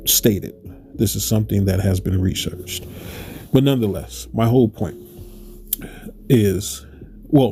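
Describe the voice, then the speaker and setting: A man's voice: fairly low pitch, mellow. A man hosting his channel in a rambling monologue, his voice stuffy from allergies, asking rhetorical questions and correcting himself. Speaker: channel host. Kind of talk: casual monologue to camera.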